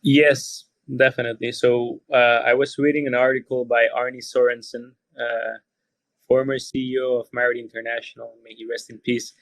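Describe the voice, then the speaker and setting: A man's voice: mid-range voice, little pitch movement, normal speed, a bit bright, no echo, low-quality recording. A man from Costa Rica with a Spanish accent, answering interview questions thoughtfully and often repeating words as he searches for phrasing. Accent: Costa Rican Spanish. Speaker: podcast guest, hotelier.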